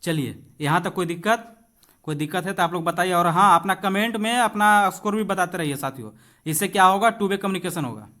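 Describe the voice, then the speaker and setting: Man, Indian accent, high-pitched voice, exam-prep teacher, instructive, reading out and explaining, addressing students directly. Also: a little fast, sharp tone. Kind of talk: bilingual exam-question lesson, reading out and explaining.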